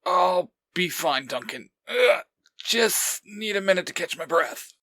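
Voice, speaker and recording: A man voicing a weary, injured young hero with a strained, breathy delivery in a story reading. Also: high voice, lively intonation, unhurried, pushed and bright, voice pushed up, full character voice.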